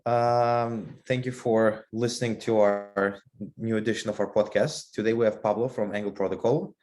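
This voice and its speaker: A man's voice: lowish voice, fairly even intonation, normal speed, a little mellow. A man hosting a podcast interview, talking conversationally with frequent fillers. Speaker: podcast host. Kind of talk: casual interview talk.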